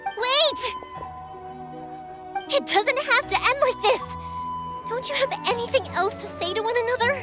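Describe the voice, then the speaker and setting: A woman's voice: extremely high pitch, over loud music. A woman voicing a spirited, outspoken young girl in a video game, emphatic and insistent. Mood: pleading. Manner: emphatic, insistent, quick to exclaim. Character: spirited outspoken young fireworks maker girl, brash and warm-hearted.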